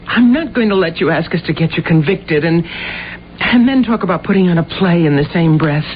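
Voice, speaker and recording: A woman's voice: lowish voice, lively intonation, brisk, a little hiss or hum, lightly acted. An actress playing a devoted, anxious young woman in an old-time radio drama, earnest, emotional and pleading. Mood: firm, upset. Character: devoted, anxious young woman visiting her troubled sweetheart. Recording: muffled 1970s radio recording with music.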